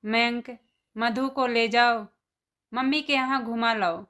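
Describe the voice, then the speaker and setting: Woman playing a slow-speaking, gentle mother-in-law. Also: fairly high pitch, steady tone. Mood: indulgent. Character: kind, indulgent mother-in-law in a family story. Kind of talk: animated moral story dialogue.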